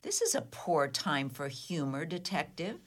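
A woman's voice: sits low, very animated, unhurried, exaggerated voice. A woman playing the disdainful, blunt daughter of a rich dowager in a comedy whodunit radio play, dry and cutting. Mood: scornful.

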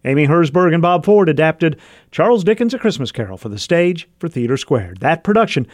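Man, public radio host, slightly high pitch, dry close-miked sound, interviewing and announcing. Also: big pitch swings, brisk, dry recording.

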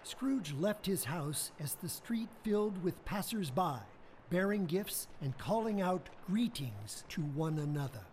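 Man narrating a radio play, slow and lively, bit of hiss behind. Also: high-pitched. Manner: expressive storytelling.

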